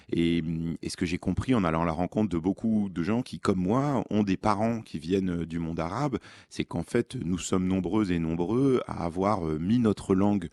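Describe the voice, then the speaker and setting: A man's voice: low voice, a little mellow, dry recording, clean studio sound. A man in his forties, a journalist being interviewed on radio, speaking reflectively and earnestly with frequent hesitations. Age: 40s. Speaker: journalist and author, studio guest. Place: radio studio.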